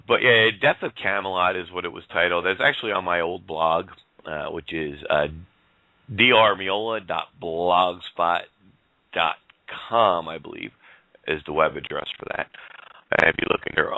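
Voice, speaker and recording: A male host of a casual call-in talk show, rambling in a folksy way and getting hoarse. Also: low voice, sharp tone, no room sound, poor audio. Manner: rambling, folksy, laughs often.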